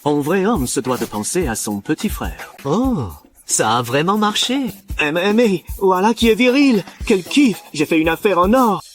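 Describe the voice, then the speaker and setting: A man voicing a cocky, crude cat who was once human, talking to himself boastfully and excitably in a dubbed cartoon. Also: high voice, a little slow, soft background music. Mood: gleeful boasting. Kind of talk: dubbed animation monologue.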